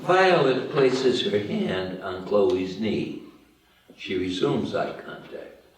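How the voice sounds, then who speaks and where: A man narrates screenplay stage directions at a slow pace. He sounds far from the microphone, in a room with very strong echo. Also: on the low side, big pitch swings. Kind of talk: scripted narration at a table read. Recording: very echoey room, far from mic.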